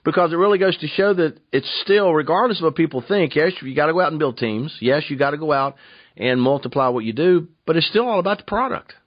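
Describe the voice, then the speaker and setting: A man's voice: fairly high pitch, animated, fast, dry recording. A man hosting a radio interview in a conversational way, affirming points and repeating phrases for emphasis. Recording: dull lo-fi radio sound.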